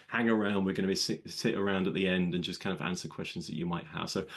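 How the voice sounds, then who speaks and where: A man's voice: sits low, quick pace, creaky. A man hosting a live webinar in an upbeat, chatty, welcoming way. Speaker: webinar host.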